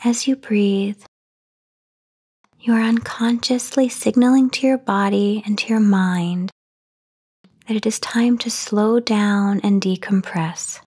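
A woman leads a guided sleep meditation in a soft, half-whispered voice of medium pitch. Her tone is breathy and soothing. She takes her time, leaving long pauses between phrases. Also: fairly even intonation. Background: voice only, no music or background noise.

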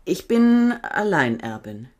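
A woman's voice: on the low side, sing-song, slow. An actress playing a bitter, defensive young woman who has inherited land, speaking in clipped, resentful replies in a radio play. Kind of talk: 1970s radio play acting.

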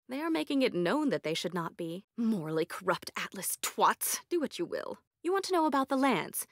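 Game role: eccentric female scientist, socially awkward and haughty, curt delivery, very lively intonation, dry close studio sound. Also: medium pitch, no room sound. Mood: bitter, disdainful. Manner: curt, formal, condescending. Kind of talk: video game character voice lines.

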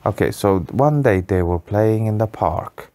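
Very deep, slow, mellow storyteller. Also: lively intonation. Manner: patient, simple phrasing, often pausing to answer a child. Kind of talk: improvised children's bedtime storytelling.

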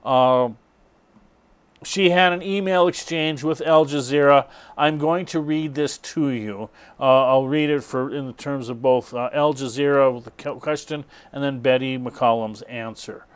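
A middle-aged man introducing and reading aloud an article in a halting, hesitant way. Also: medium pitch, smooth. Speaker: presenter.

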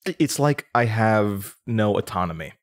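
A man's voice: on the low side, expressive, normal speed, lightly acted. An actor playing a nervous, confused young man under interrogation, stammering and hesitant in a naturalistic audio drama. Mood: uneasy, defensive.

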